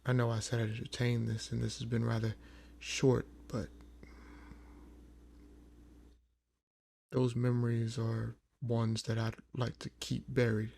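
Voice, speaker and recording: A man playing a weary, proud old vampire in an audio roleplay, speaking in a measured, brooding way with frequent pauses. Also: medium pitch, vocal fry, a little mellow. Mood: somber, guarded. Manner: measured, brooding, pausing often. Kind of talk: slow dramatic audio roleplay monologue.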